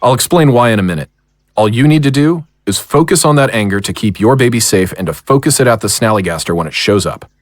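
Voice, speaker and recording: A man acts as a commanding monster hunter in an audio drama. His low voice swings widely as he directs his team, recorded close and dry. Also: animated, quick pace, dry recording, close to an everyday voice. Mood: focused. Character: commanding male monster hunter planning a ritual battle.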